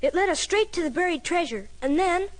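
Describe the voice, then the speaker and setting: A man's voice: very high-pitched, expressive, a little fast. A boy actor playing an earnest, brave young adventurer who narrates his own tale dramatically. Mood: earnest storytelling. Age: child or young teen. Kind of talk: dramatic first-person story narration. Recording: orchestral music behind.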